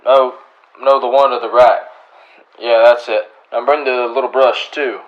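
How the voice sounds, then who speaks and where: A man's voice: medium pitch, steady tone, muffled recording, a little hiss or hum, lightly acted. Man acting a steely, laconic Old West lawman. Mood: calm, matter-of-fact. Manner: laconic, dry, unhurried. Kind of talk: western radio drama acting.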